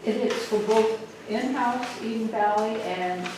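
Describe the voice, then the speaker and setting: A woman's voice: a little high, a little slow. Woman on a board asking casual questions, far off, echoey noisy room. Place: indoor board meeting room. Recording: echoey room, distant mic, clear noise.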